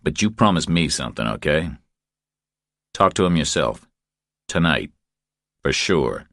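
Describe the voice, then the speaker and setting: A man voicing a steady, protective tough man, calm, firm and terse, in an audiobook thriller. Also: extremely low pitch, unhurried, no echo, clean studio sound, exaggerated voice. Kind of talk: audiobook character dialogue.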